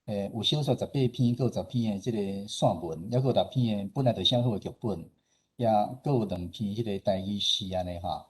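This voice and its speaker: A man's voice: lowish voice, a little fast, husky. A man with a Taiwanese accent giving an informal, explanatory presentation as a radio station head, often tagging his sentences with particles.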